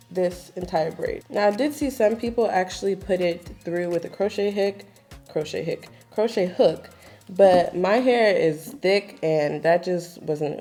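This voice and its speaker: A woman in her twenties giving a casual, chatty hair tutorial, her voice a little raspy from just waking up, with lots of filler words. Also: fairly low pitch. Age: 20s. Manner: relaxed, chatty, lots of filler likes and ums. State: slightly raspy morning voice.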